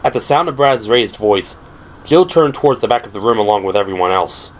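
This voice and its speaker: A man narrating an audio drama in a measured, scripted storytelling style. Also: on the low side.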